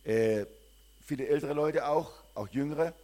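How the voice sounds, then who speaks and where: Older German missionary, rambling testimony. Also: male voice, fairly high pitch, unhurried. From southern Germany. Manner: warm, rambling, with frequent hesitations. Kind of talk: church greeting and personal testimony.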